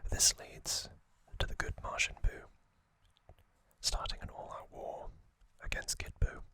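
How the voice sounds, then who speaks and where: A man whispering softly in a breathy, deliberate ASMR style, telling a recap in short phrases with long pauses between them. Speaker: host of an ASMR trivia channel. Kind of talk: ASMR whispered storytelling, loosely scripted recap.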